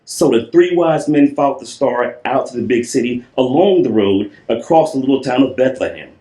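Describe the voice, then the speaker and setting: A man tells a children's story at an unhurried pace in an echoing room. His delivery is playful and theatrical, with drawn-out words and repeated phrases. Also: mid-range voice, a little mellow. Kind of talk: animated storybook read-aloud with playful asides. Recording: roomy, echoing voice recording.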